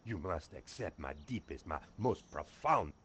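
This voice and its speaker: A man playing an excitable, pompous Frenchman with a French accent and theatrical delivery in an adventure game. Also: sits low, measured pace, a little hiss or hum. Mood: grandiose. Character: two excitable Frenchmen: café waiter and bumbling police sergeant.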